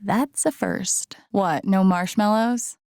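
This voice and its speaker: A woman playing an introspective, gently wry young woman in a video game, musing to herself in a naturalistic, low-key way. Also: takes it slowly, clear, resonant tone, no echo, clean studio sound. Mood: light, curious. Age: young adult. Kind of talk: naturalistic game voice acting, inner-monologue remarks.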